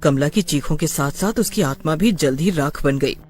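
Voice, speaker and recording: A man's voice: on the high side, normal speed, darkish tone. A man narrating a scripted horror story in a dramatic storytelling style.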